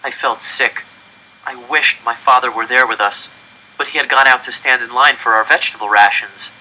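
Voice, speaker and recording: Bright, forceful male story narrator. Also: mid-range voice, steady tone, moderate pace, rough recording. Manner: dramatic, tense storytelling. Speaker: audiobook reader. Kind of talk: scripted first-person story narration.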